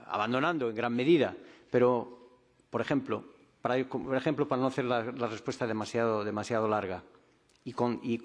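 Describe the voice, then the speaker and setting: A man speaking as a conference panelist, deliberate and often correcting himself mid-phrase. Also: mid-range voice. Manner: deliberate, with frequent restarts and self-corrections. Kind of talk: formal conference remarks. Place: large conference hall. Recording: reverberant conference hall.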